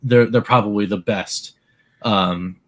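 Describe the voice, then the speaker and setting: A man with a lowish voice co-hosting a casual podcast from a home desk mic. He explains at an easy, relaxed pace, filling gaps with "um" and "like". Nothing is rushed or performed. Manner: relaxed, explaining with many fillers. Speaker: podcast co-host. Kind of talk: casual chat.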